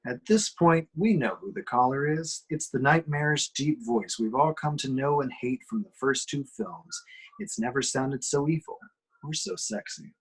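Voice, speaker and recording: A man's voice: a little high, animated, moderate pace, breathy edge, rough recording. A man reading out action directions as narrator at an amateur screenplay table reading. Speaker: reader of stage directions.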